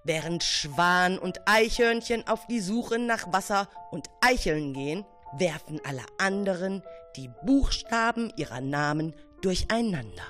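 A woman narrating a children's story expressively, with dramatic pauses. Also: on the low side, lively intonation, fairly bright tone, soft background music.

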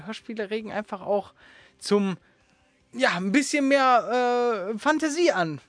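High-pitched man in his thirties, dry close sound, colloquial and halting, podcast host. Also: animated, fairly bright tone, dry recording. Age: 30s. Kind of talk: casual chat.

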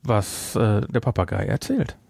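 A middle-aged man hosts a casual podcast in a gravelly voice. He rambles and repeats words for emphasis, and the sound is very dry with hardly any room to it. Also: lowish voice, lively intonation, unhurried, darkish tone, dry recording. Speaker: podcast host.

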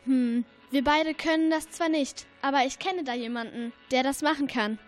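A woman's voice: high-pitched, normal speed, faint music behind, natural voice. A female performer playing a sensible, concerned girl detective in a German radio play. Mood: decided.

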